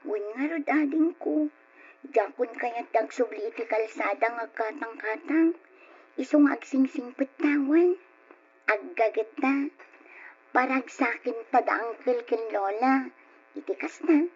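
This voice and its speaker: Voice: medium pitch, measured pace, husky. An actor playing an earnest older orphan sibling in an old radio drama, sincere and pleading, with an Ilocano accent. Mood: worried. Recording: dull lo-fi radio recording with music.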